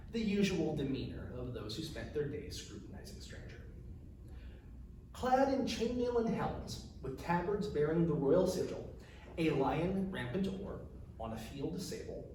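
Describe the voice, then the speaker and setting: A man reading his fantasy novel aloud as its author, in a measured, formal storytelling delivery. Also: a little high, a little mellow. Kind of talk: narrated fantasy fiction reading. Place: echoey room, recorded from a distance. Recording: distant mic, very echoey room, faint noise.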